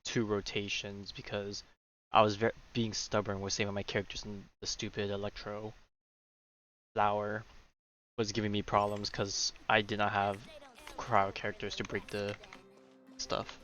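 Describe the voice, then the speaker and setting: A man's voice: on the low side, monotone, moderate pace, fairly bright tone, faint music behind. A young man chatting casually as he streams a game, rambling and often interrupting himself. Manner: rambling, self-interrupting, informal. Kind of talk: casual livestream chat over gameplay.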